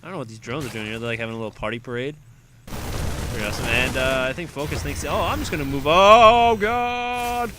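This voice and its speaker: A young man with a raspy voice calling a strategy game alone, excitable and quick to shout when fights break out. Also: mid-range voice, music in the background. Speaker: esports caster. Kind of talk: solo play-by-play game commentary.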